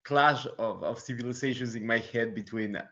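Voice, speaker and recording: A young man from Ecuador, a student talking informally in a seminar, pausing mid-phrase. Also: mid-range voice, fairly bright tone, lo-fi sound. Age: young adult. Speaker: law student asking questions.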